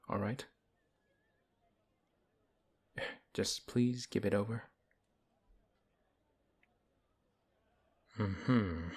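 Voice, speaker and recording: A big fantasy paladin, acted by a man with a very low, husky voice. He is principled and wary, talking in a measured, dryly skeptical manner as in an ASMR roleplay, reluctant but willing to help. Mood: cautious, reassuring. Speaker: audio roleplay performer. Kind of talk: ASMR audio roleplay acting.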